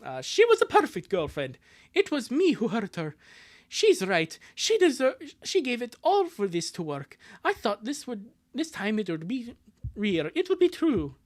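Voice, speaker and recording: A man voicing a heartbroken young cartoon boy who blames himself, halting and pleading, in a live fanfiction dub. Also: very high-pitched, huge pitch swings, pitched above the performer's own voice, exaggerated voice. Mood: guilty, dejected.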